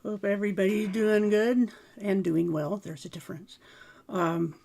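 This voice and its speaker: A woman talks to her livestream viewers with a voice made raspy by a sore throat and sinus pressure. She speaks at a middle pitch, stops for ums and uhs, and clears her throat often. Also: steady tone, measured pace, dark tone. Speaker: livestream host. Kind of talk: casual livestream talk and article reading.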